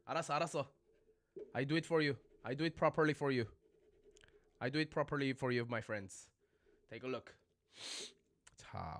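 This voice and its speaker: A man's voice: mid-range voice, no echo. Chatty man teaching on a livestream. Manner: chatty, mixes English and Korean, repeats phrases for learners. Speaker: Korean language teacher. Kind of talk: casual livestream lesson.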